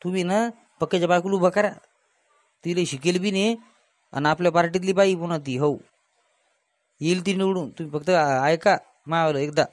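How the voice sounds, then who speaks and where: A man's voice: high voice, a little fast, pauses often, no echo, natural voice. A man voicing a nosy, meddling cartoon villager, chatty and pushy, with a Varhadi Marathi accent. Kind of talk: comic cartoon dialogue.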